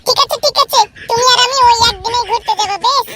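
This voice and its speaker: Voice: very high voice, fast talker, bright, forceful tone, slight background noise. An actor voicing a bossy, childlike character in a comedy cartoon, scolding and childishly insistent. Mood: eager, coaxing.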